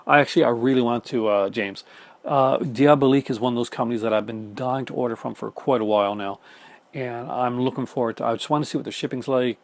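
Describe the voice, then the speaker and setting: A Canadian man talking fast and casually to the camera at home, with many fillers and restarts. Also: mid-range voice. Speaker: film collector YouTuber. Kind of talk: casual chat reading viewer comments. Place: home room in front of film shelves.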